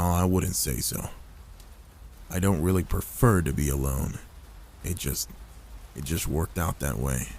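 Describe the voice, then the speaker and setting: A man voicing an older werewolf, a gruff but gentle protective loner, in a soft, intimate ASMR roleplay with a soothing, fatherly manner. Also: sits low, slight background noise. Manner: soothing, paternal, unhurried reassurance.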